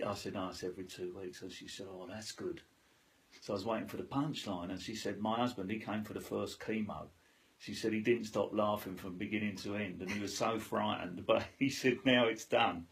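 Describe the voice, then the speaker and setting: A man telling a story with humour in a loud, chirpy way, as the guest in an interview. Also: fairly low pitch, fairly even intonation, a little fast, rough recording. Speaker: interviewee, cancer patient.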